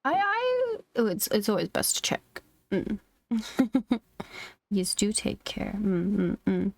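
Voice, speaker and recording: Unhurried, giggly woman game streamer. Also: medium pitch, huge pitch swings, gravelly. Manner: giggly, murmuring reactions, chatting to viewers. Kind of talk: casual livestream chat while playing a game.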